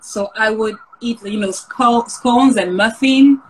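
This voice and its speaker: A woman's voice: on the high side, takes it slowly, a little hiss or hum, rough recording. A woman who grew up in France, speaks casually and reflectively as an interview guest over a video call. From Paris suburbs, France.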